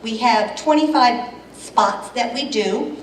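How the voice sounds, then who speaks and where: A woman's voice: on the high side, slow, distant mic, a little hiss or hum. Chatty woman explaining rules in an echoey hall. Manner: chatty, explains rules, loud without a microphone. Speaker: event committee head. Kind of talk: announcement at a club meeting. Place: meeting hall.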